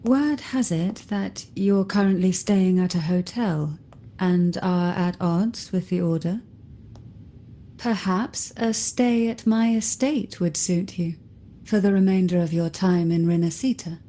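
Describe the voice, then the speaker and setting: A woman playing an enigmatic, composed noblewoman in a video game, speaking softly in a deliberate, formal, poised manner. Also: on the low side, close to an everyday voice. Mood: composed, knowing.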